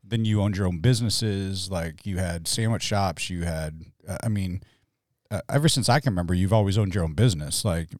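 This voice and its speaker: A man's voice: sits low, fairly quick, darkish tone, no echo, clean studio sound. A man in his forties, a pastor hosting a podcast, chatty and joking in casual conversation. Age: 40s. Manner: chatty, joking, full of fillers.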